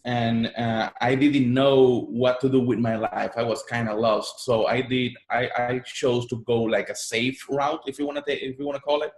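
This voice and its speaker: A man with a Puerto Rican Spanish accent, whose first language is Spanish, speaks earnestly as an interview guest. His pitch sits in an ordinary middle range, and he often repeats words as he builds each thought. The sound is roomy and echoey. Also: steady tone, moderate pace, few pauses. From Puerto Rico. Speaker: guest, online marketer. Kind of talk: interview storytelling.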